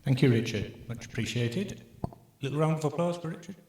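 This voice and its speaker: A man moderating a conference panel on stage, upbeat, with quick asides to the audience. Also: on the high side, a little mellow, a little hiss or hum. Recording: echoey conference hall.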